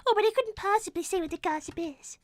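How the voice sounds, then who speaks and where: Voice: extremely high pitch, fast, no echo. A woman voicing a prim, polite little girl rabbit who speaks in a proper, formal way and loves gossip. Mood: gossipy. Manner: very proper, formal and dainty speech.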